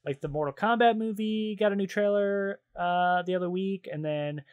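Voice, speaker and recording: A man's voice: high voice, moderate pace, highs cut off. A man co-hosting a casual podcast, chatting in a hesitant, rambling and jokey way. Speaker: podcast co-host. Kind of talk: casual chat about games and films.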